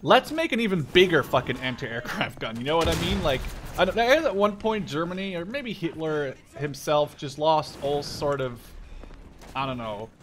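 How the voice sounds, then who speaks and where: A young man with a slightly high voice commentates casually on his own gameplay. He rambles excitably and swears freely. Clear gunfire and game noise sit behind his voice. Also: moderate pace. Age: 20s. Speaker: video game streamer. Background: video game gunfire.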